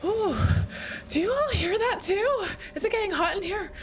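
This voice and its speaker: A woman's voice: extremely high pitch, expressive, normal speed, slight background noise. A woman playing in a tabletop roleplaying game, improvising her lines in character. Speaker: player. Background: loud music.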